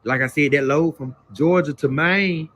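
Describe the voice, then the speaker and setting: Higher-pitched man giving blunt casual advice. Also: normal speed, fairly bright tone. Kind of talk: casual informal advice talk.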